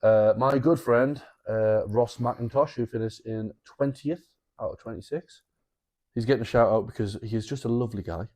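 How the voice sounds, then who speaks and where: A man's voice: medium pitch, normal speed, a little mellow. A man co-hosting a podcast, telling stories with casual, sweary banter. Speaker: podcast co-host.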